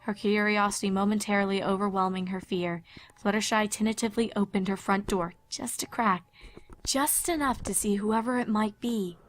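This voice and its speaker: A woman reading scripted dramatic story narration in a measured, expressive way. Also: mid-range voice, faint music behind, poor audio.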